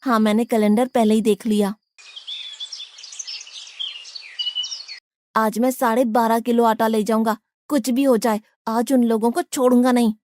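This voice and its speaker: A woman plays a simple-hearted but greedy Brahmin cook in a cartoon moral tale. The voice sits very high. The delivery is blunt, bossy and full of complaint. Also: steady tone, brisk, darkish tone, dry recording, lightly acted. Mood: grumbling, bossy. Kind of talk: Hindi cartoon moral-story acting.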